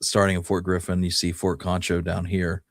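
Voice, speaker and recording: Deep-voiced man talking history, close dry sound. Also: completely flat delivery, normal speed, no room sound. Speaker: co-host presenting research on Old West history. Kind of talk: casual conversational history podcast talk.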